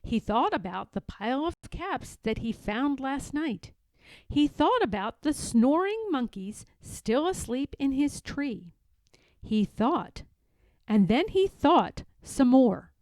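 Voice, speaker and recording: A woman reading a children's story aloud in a dramatic, deliberate way, stressing key words. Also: high voice, sing-song, a little mellow, no echo. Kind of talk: children's read-aloud narration.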